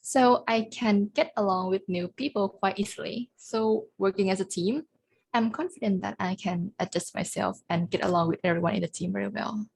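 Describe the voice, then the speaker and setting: A young woman, a university student, gives earnest, practiced answers in a mock job interview. Her voice has a gravelly, creaky edge, and she lays out her points one by one. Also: mid-range voice, steady tone, normal speed, darkish tone, poor audio. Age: young adult.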